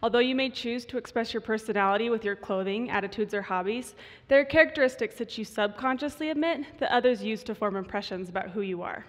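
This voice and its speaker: Young woman with vocal fry in a hall. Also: a little high, fast. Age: 20s. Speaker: student voice researcher giving a talk. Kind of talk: prepared short stage talk. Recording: reverberant hall.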